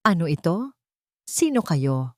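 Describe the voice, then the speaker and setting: A woman's voice: lowish voice, very animated, slow pace, no echo, clean studio sound. A woman voicing a cold, threatening older matriarch villainess in a narrated drama story. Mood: defiant. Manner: quiet, threatening.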